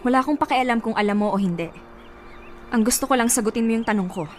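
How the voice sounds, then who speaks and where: An actress playing a heartbroken young woman in a radio drama, speaking steadily and with quiet edge. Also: fairly high pitch, fast, faint music behind, natural voice. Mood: wounded, restrained. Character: heartbroken young woman, calm but wounded. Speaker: lead character.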